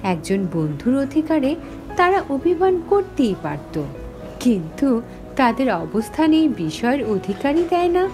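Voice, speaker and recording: A woman's voice: high voice, sing-song, measured pace. A woman narrating a scripted horror story dramatically, in the first person as its heroine. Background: music.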